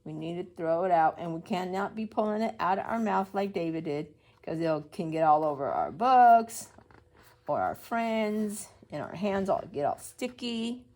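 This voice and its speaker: A woman's voice: lowish voice, animated, moderate pace, a little mellow. A woman preschool teacher reading a story aloud to young children, explaining as she goes and asking simple questions.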